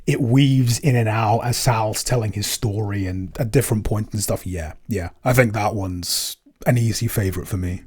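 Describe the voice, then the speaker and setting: A man's voice: lowish voice, normal speed, hardly any pauses, a little mellow, breathy edge. A man co-hosting a casual podcast, talking hesitantly with many ums and restarts. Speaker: podcast co-host and composer.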